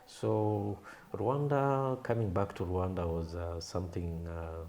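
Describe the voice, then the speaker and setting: A man in his thirties from Kenya, a Swahili native speaker, recalling his past in an unhurried, thoughtful way, with frequent pauses. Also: sits low, steady tone, a little mellow, a little hiss or hum. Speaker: guest, film and creative artist. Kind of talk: reflective interview storytelling.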